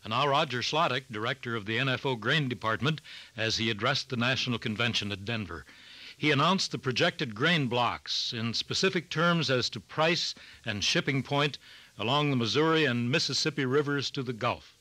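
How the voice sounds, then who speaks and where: A man hosting a farm news program, reading scripted narration and interviewing a guest in a measured, formal way. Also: medium pitch, pushed and bright. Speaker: radio program host.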